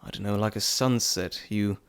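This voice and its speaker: A man voicing a playful, fond male messenger god in an intimate audio roleplay, chatty and teasing with theatrical pauses. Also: fairly low pitch, smooth, close to an everyday voice. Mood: fond, musing.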